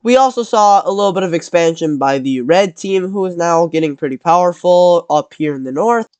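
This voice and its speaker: High-voiced man with a cold. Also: lively intonation, a little fast, a little mellow, clean tone, no echo. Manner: rambling, corrects himself mid-sentence. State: has a cold. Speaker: channel host narrating a map scenario. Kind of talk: casual commentary over a map video.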